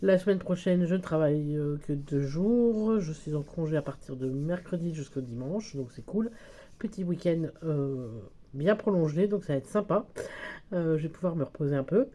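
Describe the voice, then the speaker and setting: A woman's voice: deep voice, moderate pace, mellow. A woman with a cold and a French accent, talking casually and hesitantly as she fills budget envelopes, with many fillers and self-corrections. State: has a cold. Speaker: budgeting vlogger. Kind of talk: casual talk while filling budget envelopes.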